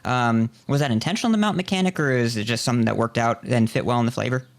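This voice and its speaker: A man's voice: mid-range voice, very fast, vocal fry. A man hosting a podcast, talking conversationally in wordy, thought-out phrases. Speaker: podcast host. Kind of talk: conversational interview.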